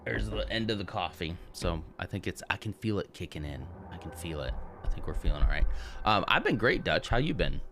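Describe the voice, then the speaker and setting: Dude streaming a game, jokey and chatty, deep voice but bouncy, faint music. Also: male voice, very animated, a little fast, fairly bright tone. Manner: joking, chatty, laughs often. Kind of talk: casual let's play commentary.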